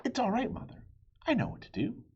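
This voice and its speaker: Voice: mid-range voice, wildly swooping pitch, fairly quick, a little mellow, husky, lo-fi sound, voice pushed up. A man voicing an earnest, eager young boy on a quest in a storybook. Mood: reassuring.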